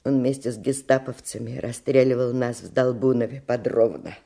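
An older woman who survived the war, in a Russian radio play. Her creaky voice is halting and heavy with bitterness and anguish. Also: rumbling low, warm tone, full character voice. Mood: bitter, grave. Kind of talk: Russian radio drama acting.

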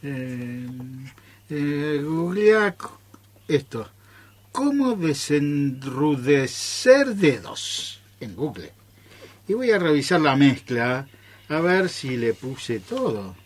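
A man's voice: on the high side, sing-song, drawn-out words, full character voice. An Argentine man acting a reckless, bossy amateur scientist who brushes off every worry in a radio play. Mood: nervous improvising. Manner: hushing, dismissive, overconfident.

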